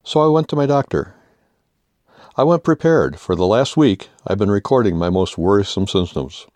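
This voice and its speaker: Older American male essayist, low voice, humorous scripted read, dry close sound. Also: expressive, moderate pace, darkish tone, no room sound. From United States. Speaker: author reading own humorous essay. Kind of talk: scripted humorous first-person narration.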